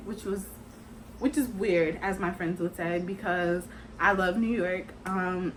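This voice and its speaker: A young woman in her twenties chats straight to camera in a loose, rambling way, with plenty of "like" and "kinda". Her pitch sits in an ordinary middle range. A faint hiss runs under the recording. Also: rough recording. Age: 20s. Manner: chatty, rambling, lots of "like" and "kinda". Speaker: vlogger. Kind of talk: casual talk to camera.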